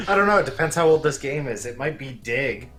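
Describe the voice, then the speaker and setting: Man, middle pitch, animated delivery, casual game co-commentary, offhand joking, light music underneath. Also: a little fast. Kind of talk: casual chat.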